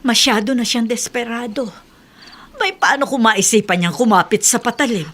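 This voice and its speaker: A woman's voice: fairly high pitch, normal speed, fairly bright tone, slight background noise. A Filipino woman playing an anxious, loving mother of grown children in a radio drama. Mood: worried. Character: anxious, loving middle-aged or older mother.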